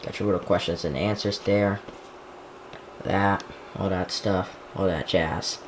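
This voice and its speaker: A man chatting casually and haltingly to himself as a hobbyist vlogger handling a device, recorded indoors. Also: low-pitched, steady tone. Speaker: hobbyist vlogger unboxing gadgets. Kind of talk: casual unscripted chat while handling a device. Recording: echoey room, clear background noise.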